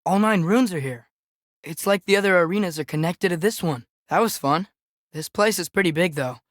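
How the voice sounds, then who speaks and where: A man's voice: high voice, normal speed, no room sound, studio quality, close to an everyday voice. A young man playing an eager, earnest, curious teenage boy, a companion in a video game, fighting beside his father.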